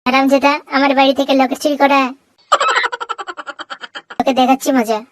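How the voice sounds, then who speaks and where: A performer voicing a hot-tempered, suspicious cartoon husband in a high, childlike voice, brash and scolding. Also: flat delivery, moderate pace, natural voice. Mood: angry, scolding. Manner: brash, scolding, insult-laden. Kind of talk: exaggerated comic cartoon dialogue. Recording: noisy track with clicks.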